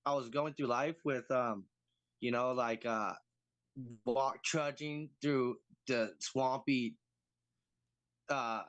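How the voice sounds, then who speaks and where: A man's voice: mid-range voice, a bit bright, dry recording, muffled recording. A man on a video call sharing at a recovery meeting, hesitant and full of fillers.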